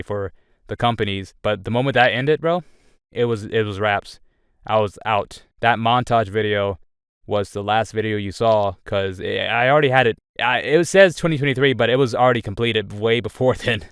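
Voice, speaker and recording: Earnest young man with a smooth low voice. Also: a bit bright, no room sound, studio quality. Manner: rambling, with frequent restarts; earnest and candid. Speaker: YouTuber. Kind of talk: reflective personal update.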